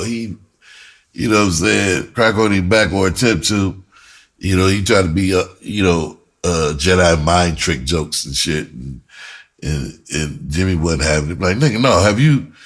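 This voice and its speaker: A man with a deep, rough-edged voice chats as a storyteller. His delivery is casual and animated, peppered with swearing and slang, and he circles back to repeat phrases for emphasis. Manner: breaks into laughter often while talking. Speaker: screenwriter and performer telling his own story.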